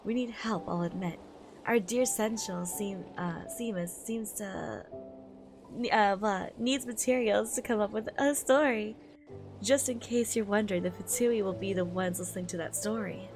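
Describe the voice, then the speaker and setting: A woman's voice: mid-range voice, normal speed, music in the background, natural voice. A woman reading out a sassy, nonchalant young male knight from a manga, in a teasing manner. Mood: dry, coaxing.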